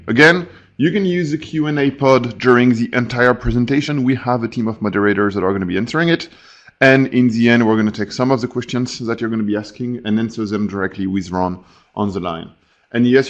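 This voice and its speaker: A man with a French accent walks listeners through a technical webinar. He talks at a middling pitch, stopping for fillers and restarting phrases as he goes. The audio is dull and lo-fi, as webinar sound often is. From France. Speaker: webinar presenter.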